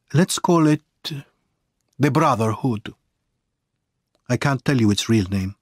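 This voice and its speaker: An earnest, secretive Italian exile played by a male audiobook reader, anxious and dramatic. His pitch moves widely from phrase to phrase, and the sound is close and dry. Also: no echo. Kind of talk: audiobook character dialogue.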